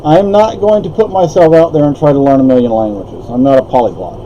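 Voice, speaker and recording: Older man, native Spanish speaker, mellow voice, meandering talk, faint hum behind. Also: a little high, a little fast, highs cut off. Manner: meandering, with frequent restarts and asides. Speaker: vlogger. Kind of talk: rambling personal monologue.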